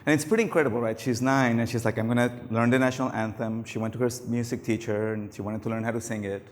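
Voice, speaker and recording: A man's voice: medium pitch, steady tone, fast, a little hiss or hum. Man giving a talk, hall reverb. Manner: casual, anecdotal, many fillers and likes. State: losing his voice. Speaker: conference speaker. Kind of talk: conference talk on stage. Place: large conference hall.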